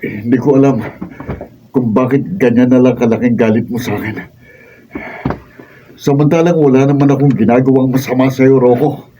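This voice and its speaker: Man acting steadfast young filipino husband in a radio drama, very bright forceful voice, a bit off mic. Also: medium pitch, fairly even intonation, normal speed, long pauses, recorded from a distance, natural voice, low-quality recording. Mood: restrained, defiant. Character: earnest, steadfast young husband defending his marriage. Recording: off-mic, faint background noise.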